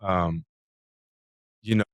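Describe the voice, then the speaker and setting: Sex: male. Role: podcast host, professor. Pitch: lowish. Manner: earnest, reflective, says "you know" a lot. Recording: dry. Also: steady tone, extremely slow, no echo. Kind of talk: casual conversation. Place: live recording at a conference.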